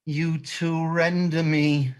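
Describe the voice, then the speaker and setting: A performer playing a wounded, bitter old crook in a stage play reading, with labored, halting, half-sung speech. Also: mid-range voice, little pitch movement, natural voice. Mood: bitter, dying.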